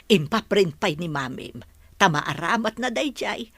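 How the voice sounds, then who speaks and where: A woman's voice: fairly low pitch, lively intonation, measured pace, fairly bright tone, slightly rough, no echo, heavily acted. A woman playing a caring, patient village grandmother in a radio drama, with a northern Filipino accent. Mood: confiding.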